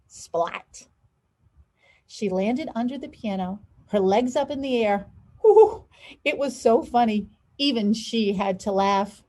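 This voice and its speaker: A woman, a school superintendent, reading a children's picture book aloud with expressive, stressed storytelling phrases. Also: fairly high pitch, slow.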